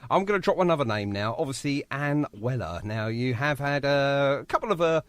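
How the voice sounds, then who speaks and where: A man's voice: mid-range voice, lively intonation, fairly quick, smooth, no echo. An English man hosting a radio show, chatting effusively with a guest about music and agreeing often.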